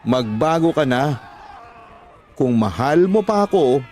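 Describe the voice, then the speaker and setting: A man's voice: mid-range voice, very animated, slow pace, a little mellow, faint music behind. A man playing a frustrated husband in a radio drama, emphatic, scolding and pleading. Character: frustrated husband pleading with gambling-addicted wife. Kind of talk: Tagalog radio drama acting.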